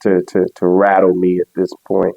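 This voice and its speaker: American man, low mellow voice, easygoing guest, dull dry recording. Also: moderate pace, gravelly, dry recording. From United States. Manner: relaxed, easygoing, many short backchannels. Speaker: travel company owner, guest. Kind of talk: casual interview conversation.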